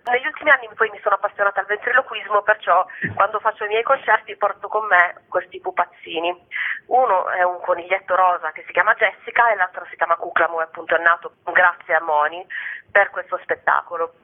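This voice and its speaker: Female singer-songwriter guest, casual and chatty, thin telephone-quality radio line. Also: mid-range voice. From Bari, southern Italy. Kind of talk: casual spoken interview. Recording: narrow phone-like radio line.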